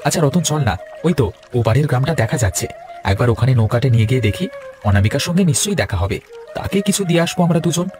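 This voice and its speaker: Male actor as kindly merchant big brother, earnest, fast delivery, cartoon dialogue, music clearly behind. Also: mid-range voice, animated, lightly acted. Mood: compassionate, earnest. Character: kind-hearted, prosperous elder-brother merchant. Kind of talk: Bengali fairy-tale cartoon dialogue.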